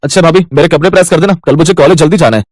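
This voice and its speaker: A man playing a demanding, complaining young husband or in-law with a brisk, entitled manner in a moral story. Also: fairly high pitch, darkish tone, no echo, close to an everyday voice.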